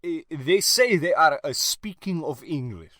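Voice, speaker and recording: A man's voice: on the high side, animated, a little slow, fairly bright tone, no room sound, clean studio sound. An actor playing a blunt Slavic spaceship crewman with an Eastern European accent and broken English in a radio comedy. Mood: deadpan, blunt. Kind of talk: old-time radio comedy acting.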